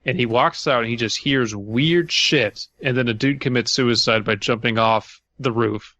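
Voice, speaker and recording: A man's voice: mid-range voice, moderate pace, bright, forceful tone, muffled recording. A man co-hosting a podcast, casually critiquing stories in a blunt, slangy way. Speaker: podcast host.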